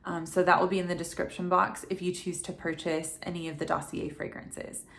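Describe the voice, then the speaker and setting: Hushed, slightly sick woman vlogger. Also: lowish voice, flat delivery, a little fast, gravelly. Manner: talking quietly at night; chatty, rambling, with frequent ums. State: getting over a head cold, dry throat. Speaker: fragrance reviewer. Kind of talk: casual vlog talk to camera. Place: home at night.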